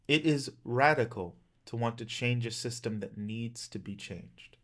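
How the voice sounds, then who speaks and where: A man's voice: mid-range voice, a little slow, fairly bright tone. Impassioned man in his thirties. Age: 30s. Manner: impassioned, emphatic, plain-spoken with occasional swearing. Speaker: vlogger. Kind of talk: earnest monologue to camera, personal rallying speech.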